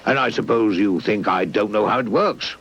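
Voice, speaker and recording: A man's voice: on the low side, normal speed, husky. Man putting on a blustering, growly toy tyrant. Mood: pompous, demanding. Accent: British. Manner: demanding, shouty, pompous. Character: blustering, bossy, big-headed male toy tyrant. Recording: dull lo-fi VHS tape transfer.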